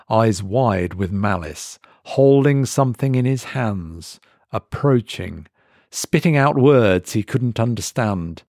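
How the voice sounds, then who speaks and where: A man reading a scripted horror story as narrator, with a measured, dramatic delivery in short weighted phrases. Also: fairly low pitch, a little mellow, no echo, clean studio sound. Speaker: story reader.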